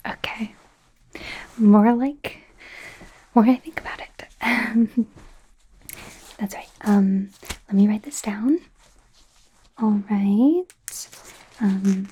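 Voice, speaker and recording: A woman playing an anxious, apologetic young werewolf medical student in a soft, hesitant ASMR roleplay whisper. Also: mid-range voice, husky, poor audio. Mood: nervous, self-doubting. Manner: hesitant, halting, nervous.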